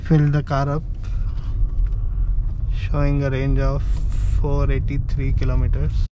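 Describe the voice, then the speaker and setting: A man with an Indian accent narrating a casual road-trip vlog, speaking in short fragments with pauses. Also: medium pitch, completely flat delivery. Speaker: car vlogger. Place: inside a moving car at night. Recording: muffled, loud car and road noise. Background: loud engine and road noise.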